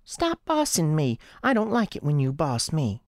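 Young Southern schoolgirl acted by an adult, high and animated, eager, rustic, rambling; radio tale. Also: normal speed, dry recording, studio quality. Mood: annoyed. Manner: rustic childish grammar, eager rambling confessions. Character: frightened, earnest young Southern girl, chatty schoolchild.

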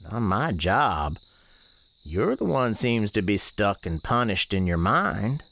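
An actor playing a plainspoken, watchful country storekeeper, narrating a supernatural radio drama in a deliberate, homespun way. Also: male voice, lowish voice, big pitch swings, vocal fry, fairly bright tone, heavily acted. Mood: frank, knowing. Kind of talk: first-person radio drama narration and dialogue.

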